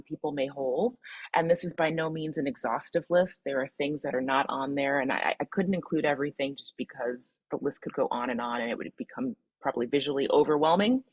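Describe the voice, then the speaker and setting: A woman from the American Midwest presenting an online workshop, calmly guiding participants through reflection exercises over a video call. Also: sits low, no echo. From Midwestern US, Michigan. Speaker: webinar presenter.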